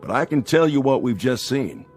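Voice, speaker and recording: Grave, measured technical manager, played by a man, mid-range voice, radio drama with faint music. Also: mid-range voice, sing-song, darkish tone, natural voice. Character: sober, deferential, measured space program project manager. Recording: music bed underneath.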